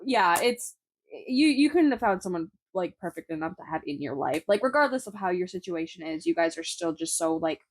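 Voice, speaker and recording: A young woman in her twenties with a high voice, co-hosting a podcast in playful casual chat, often laughing. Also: expressive, a little fast. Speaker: podcast co-host.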